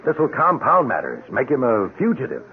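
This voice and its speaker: An actor playing a stern police captain in a radio drama, gruff, clipped and full of authority. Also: male voice, mid-range voice, wildly swooping pitch, a little fast. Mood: grave, terse. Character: stern, usually mild police captain, angry at his sergeant. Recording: muffled narrow-band old radio recording.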